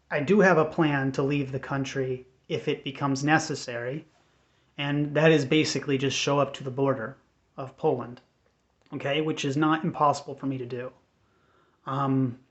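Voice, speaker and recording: An American man giving an unscripted personal update in a measured, earnest, hesitant way. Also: medium pitch. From United States. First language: English. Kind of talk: unscripted personal talk to camera. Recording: dull, lo-fi sound.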